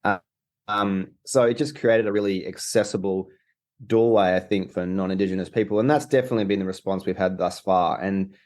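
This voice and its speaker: Man, low voice, rambling answers, lots of fillers. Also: steady tone, fairly quick, darkish tone. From Australia. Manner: rambling, many restarts and fillers. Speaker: documentary co-director. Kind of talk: casual interview answers.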